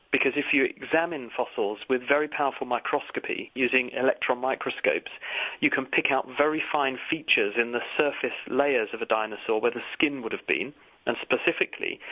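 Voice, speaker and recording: Over a narrow phone line, a man explains science for a radio audience. He speaks as an expert at a medium pitch, laying things out in an explanatory way. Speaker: science expert answering listeners' questions. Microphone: phone line into a radio show.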